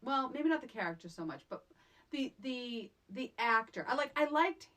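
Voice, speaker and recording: A woman with a fairly high voice that swings widely in pitch, talking casually about a film as a podcast co-host. She is emphatic and exasperated, hammering her points by saying them again. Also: animated, normal speed, a bit bright.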